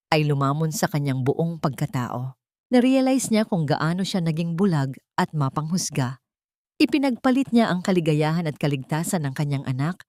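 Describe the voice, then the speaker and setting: A woman's voice: sits low, a little mellow, dry recording, clean studio sound. A woman reading scripted narration for a dramatic audio story.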